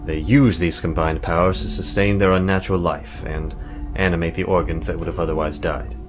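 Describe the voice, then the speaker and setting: Low-pitched formal scholarly male mage played by a man. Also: steady tone, over music, lightly acted. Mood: measured, grave. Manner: formal, precise, measured wording. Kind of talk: visual novel character acting.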